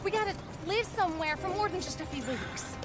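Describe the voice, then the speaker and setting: A woman's voice: extremely high pitch, animated, brisk, loud music behind the voice. An actress playing a firm, plain-spoken frontier wife and mother with an American accent in a western game, direct and folksy. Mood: reproachful, pleading. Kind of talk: cinematic western game dialogue.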